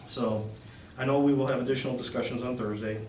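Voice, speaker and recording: A man giving a measured, concerned public comment at a township meeting. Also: medium pitch, fairly even intonation, darkish tone, a little hiss or hum. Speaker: resident speaking at public comment. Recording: echoey room mic from a distance.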